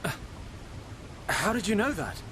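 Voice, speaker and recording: A man's voice: high-pitched, very animated, a little slow, pushed and bright, a little hiss or hum, exaggerated voice. A young man playing an earnest, kind young hero in a video game cutscene, sometimes hesitant. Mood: puzzled, hesitant.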